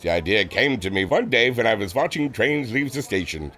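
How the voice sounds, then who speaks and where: An actor playing an earnest, proud inventor with a formal, theatrical manner in a pulp audio drama. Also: male voice, on the low side, expressive, a little fast, bright, forceful tone. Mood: proud, excited.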